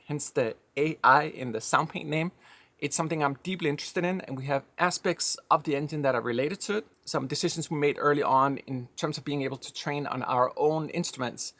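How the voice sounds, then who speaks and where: A man's voice: a little high, fairly bright tone, dry recording. A man from Denmark with a slight Danish accent, giving a reflective, conversational monologue with firm opinions. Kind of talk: personal monologue.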